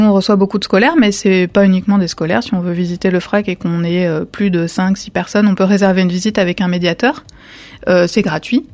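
A woman from a gallery's front desk describing artworks in an easygoing chat. She explains at length, filling her pauses with frequent hesitations. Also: mid-range voice, a little mellow. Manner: many fillers, explanatory. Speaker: museum visitor services staff. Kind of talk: casual conversation.

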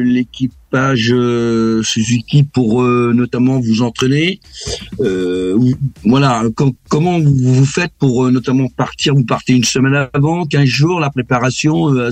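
A man's voice: mid-range voice, a little mellow, dry recording. A man asking interview questions, hesitant with many fillers. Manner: halting, many fillers while framing questions.

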